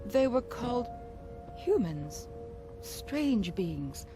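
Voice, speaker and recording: A woman's voice: a little high, big pitch swings, very slow, heavily acted. An actress playing a haughty, bewildered noblewoman from an ancient age, with formal, imperious delivery for a fantasy video game. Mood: remote, mysterious. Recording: game music behind the voice.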